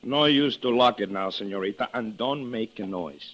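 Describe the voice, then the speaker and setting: An actor playing a cold, mocking killer in an old radio drama. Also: male voice, lowish voice, moderate pace, fairly bright tone, slight background noise, lightly acted. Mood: mocking menace. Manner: sly, mocking, threatening. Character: menacing, smooth Mexican male killer, mocking and cold. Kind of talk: 1940s-50s radio drama acting.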